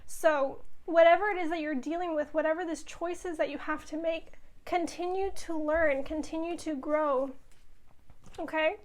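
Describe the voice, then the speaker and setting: Hoarse woman, tarot reader, high voice, casual and reassuring to camera. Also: fairly even intonation, moderate pace. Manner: reassuring, addresses viewers with frequent "okay?". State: losing her voice.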